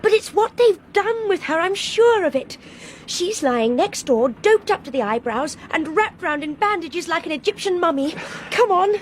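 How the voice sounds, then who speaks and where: An earnest young Englishwoman, speaks with emphatic urgency. Her high voice swings widely, as in British radio drama, over a faint rumble. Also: animated, moderate pace. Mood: urgent, defiant. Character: earnest, headstrong young Englishwoman, insistent and agitated.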